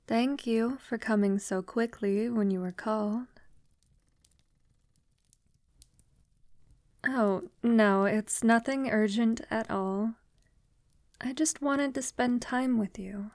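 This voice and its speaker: An ancient, elegant vampire played by a woman speaks to her lover in an intimate audio roleplay. Her delivery is slow, soft and tender, drifting often into near-whisper. She is a refined, affectionate woman with formal phrasing, heard in a very dry sound. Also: fairly high pitch, dry recording. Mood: tender, welcoming. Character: affectionate centuries-old female vampire lover, refined and vulnerable.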